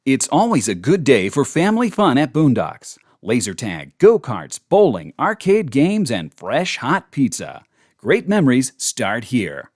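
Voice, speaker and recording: A man's voice: medium pitch, huge pitch swings, measured pace, darkish tone. A man who works as a voice actor, teaching in a casual, conversational and emphatic way with demonstrations. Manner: emphatic, conversational, checks in with "okay?". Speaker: voice actor giving a tutorial. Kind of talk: casual instructional talk with demonstrations.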